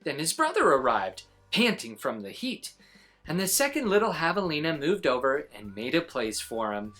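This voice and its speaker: A woman reading a children's picture book aloud as an expressive storyteller. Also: sits low, normal speed, faint music behind.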